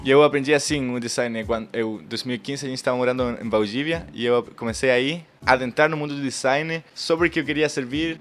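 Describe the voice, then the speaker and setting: A young man raised in Chile, speaking as a guest on a podcast, telling his story in a reflective, halting way. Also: medium pitch. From Brazil-born, raised in Chile. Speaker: guest, missionary and designer. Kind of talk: casual podcast interview.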